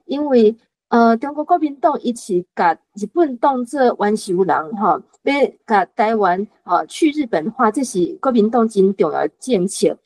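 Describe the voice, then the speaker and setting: A woman's voice: on the high side, darkish tone, no room sound, low-quality recording. A woman with a Taiwanese accent discussing a book in an orderly, explanatory way as a panelist putting questions. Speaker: reading-club panelist posing questions.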